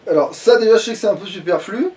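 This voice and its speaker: A man's voice: high-pitched, very fast, darkish tone, far from the mic. A man playing a video game and chatting casually, muttering and swearing in crude slang as he jokes around. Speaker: let's play gamer.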